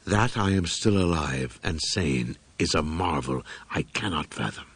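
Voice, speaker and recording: Deep, slow-reading male horror narrator. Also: lively intonation, fairly bright tone, slightly rough. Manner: solemn, deliberate, brooding delivery with dramatic pauses. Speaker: audiobook narrator. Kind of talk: dramatic first-person horror audiobook narration.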